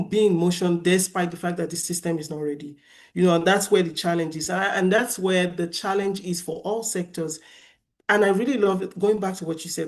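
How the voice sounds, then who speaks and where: Lively Nigerian woman in her thirties, low-pitched, chatty panelist. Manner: lively, chatty, laughs easily. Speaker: panel speaker, artist. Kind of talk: panel discussion.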